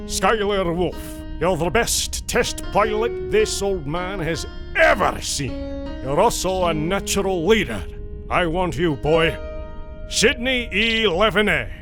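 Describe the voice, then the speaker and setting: A man voicing an older, determined American tycoon giving deliberate orders in a motion comic adventure. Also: high-pitched. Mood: earnest, persuasive. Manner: deliberate, authoritative speeches. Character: old American industrial tycoon, gruff and determined.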